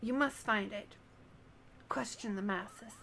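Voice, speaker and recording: Woman as a pompous little moogle painter, measured pace. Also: medium pitch, vocal fry, pushed and bright, slight background noise, heavily acted. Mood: pompous, urgent. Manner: pompous, grandiose wording. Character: self-important moogle painter, small boastful artistic creature.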